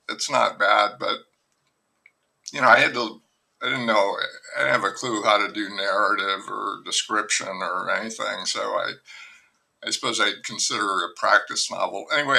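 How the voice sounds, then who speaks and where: Older male novelist, gravelly, unhurried, self-deprecating guest. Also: on the low side, sharp tone, rough recording. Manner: slow, musing, self-deprecating, with many pauses and restarts. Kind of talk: reflective conversational interview.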